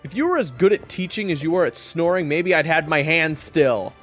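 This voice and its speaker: A man's voice: on the high side, expressive, a little fast, a bit bright, big-room sound, faint music behind. A man playing a wry, sarcastic young adventurer who also narrates a fantasy audio roleplay. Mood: irritated. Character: young male adventurer hero, wry, irritable trainee and narrator.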